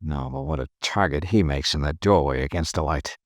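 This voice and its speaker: A man's voice: extremely low pitch, fairly quick, no room sound. An actor playing a cool, dry male insurance investigator in a 1950s radio drama, asking terse, clipped questions. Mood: tense.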